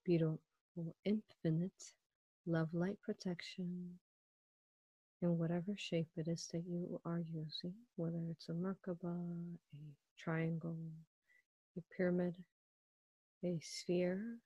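A woman leading a guided meditation in a soft, breathy voice, soothing and hypnotic, with drawn-out, repeated phrases. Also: on the low side, level tone, dry recording, dull audio.

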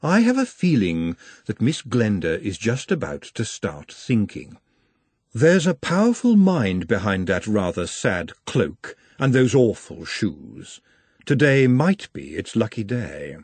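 Guy doing a sly storybook madame, slow drawl, musing to herself, husky and knowing. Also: fairly low pitch, wildly swooping pitch, darkish tone, no room sound, full character voice.

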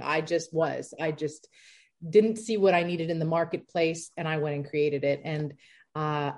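A woman in her thirties, an entrepreneur answering interview questions in a chatty, lively way with many fillers. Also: sits low. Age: 30s. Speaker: entrepreneur guest.

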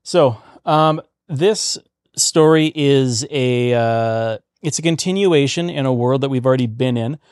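A man runs the table for a comedy roleplaying game, setting the scene in a relaxed, offhand way. His voice sits at an ordinary pitch. The sound is dry and close, with no room around it. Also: measured pace, clean tone, dry recording. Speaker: game master.

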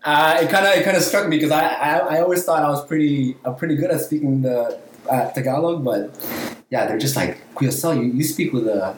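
A man's voice: on the high side, fairly quick. A thirty-year-old man chats casually as a podcast guest, sounding a little hesitant and unassuming. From born in the Philippines, moved to Canada at nine. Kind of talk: casual chat. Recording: roomy echo with faint background noise.

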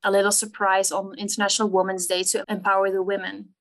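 A woman's voice: medium pitch, completely flat delivery, quick pace, fairly bright tone. A young woman in her twenties with a Dutch accent, a guest explaining her work earnestly, with fillers between listed points. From Netherlands. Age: 20s. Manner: earnest, talks in lists with fillers. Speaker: marketing team lead guest. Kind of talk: casual interview chat.

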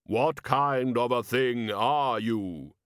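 A man voicing an ominous, unearthly rider who speaks with grave, deliberate calm in an audiobook story. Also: medium pitch, clear, resonant tone, dry recording, studio quality.

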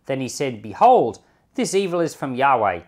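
A man's voice: mid-range voice, very animated, moderate pace, darkish tone. A man speaking as a pastor and Bible podcast host, reading scripture expressively and commenting on it informally.

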